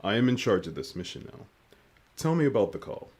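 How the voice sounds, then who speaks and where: A man playing a guarded, masked mission commander in an audio drama, speaking in a formal, measured, commanding way. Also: lowish voice, big pitch swings, background noise. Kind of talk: scripted audio drama acting.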